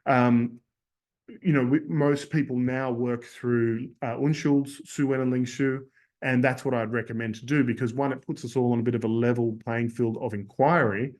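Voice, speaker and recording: A man with a hoarse voice teaches in a webinar, his pitch sitting in an ordinary middle range. He talks in a thoughtful, searching way, pausing on fillers and restarting phrases as he works through ideas. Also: fairly even intonation, a little mellow. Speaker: acupuncture lecturer. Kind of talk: webinar lecture.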